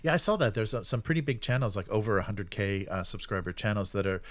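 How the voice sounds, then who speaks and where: A man hosting a casual livestream, giving opinionated commentary in a halting, rambling way. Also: on the low side, no echo. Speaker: live show host.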